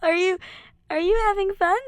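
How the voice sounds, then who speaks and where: A woman voicing a gentle, earnest teenage boy with an eager, expressive manner in a fan fiction audiobook. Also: extremely high pitch, moderate pace, no room sound, studio quality, voice pushed up. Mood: tipsy, giggly. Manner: soft-hearted, eager, expressive.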